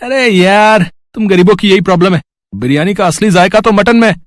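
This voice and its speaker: Man acting a high-voiced, haughty rude customer. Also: a little fast, dry recording, studio quality, lightly acted. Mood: haughty, dismissive. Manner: brusque, dismissive. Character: rude, haughty well-off male street customer. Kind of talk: animated Hindi moral story acting.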